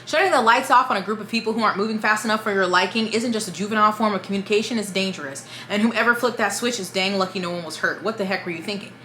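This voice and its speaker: A woman who hosts a book channel, reading a written statement aloud in a brisk, steady delivery. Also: mid-range voice, reverberant, a little hiss or hum.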